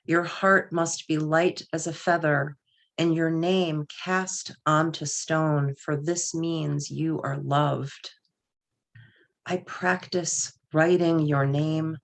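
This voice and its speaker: Woman, low voice, unhurried slow pace, poetry reading, solemn, measured phrasing. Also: vocal fry. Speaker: poet reading own work. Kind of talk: poetry reading with brief spoken introductions.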